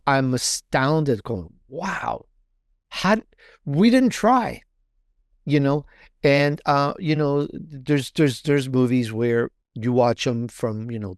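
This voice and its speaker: A man's voice: a little high, a little mellow, dry recording, clean studio sound. A man speaking as an interview guest in a casual, rambling storytelling way, often rephrasing himself mid-thought. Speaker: podcaster and author guest.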